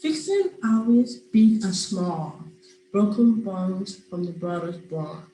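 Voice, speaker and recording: A young woman from Nigeria, a public health student, reading a rhyming children's book aloud over a video call in a steady, friendly way. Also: mid-range voice, slow, faint music behind. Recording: roomy video-call audio with faint hiss.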